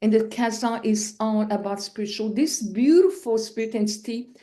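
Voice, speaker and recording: French-Canadian woman, animated spiritual storyteller. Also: fairly high pitch, normal speed. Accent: Quebec French. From Quebec. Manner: animated storyteller, acts out scenes with laughs and cries. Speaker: guest. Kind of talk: spiritual interview.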